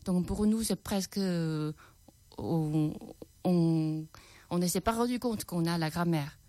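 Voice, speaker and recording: A woman's voice: fairly low pitch, takes it slowly, dark tone, no room sound. A Chinese-born woman teacher speaking French with a Chinese accent, hesitant and full of fillers, answering radio interview questions. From China.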